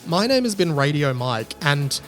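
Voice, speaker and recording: A man's voice: a little high, sing-song, moderate pace, fairly bright tone, soft background music. A man with an Australian accent hosting a podcast alone, talking casually in a rambling, conversational way. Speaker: podcast host. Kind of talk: casual solo podcast talk.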